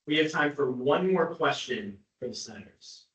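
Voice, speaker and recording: A man's voice: mid-range voice, animated, moderate pace, fairly bright tone. A young man moderating a student candidate forum, announcing each step and giving timed instructions plainly. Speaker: town hall moderator. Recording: echoey room from far off.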